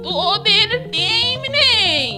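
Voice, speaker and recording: A woman playing an old cartoon granny who dotes on her pet and scolds in a theatrical, drawn-out way. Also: very high voice, lively intonation, loud music behind the voice, heavily acted. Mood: angry, scolding.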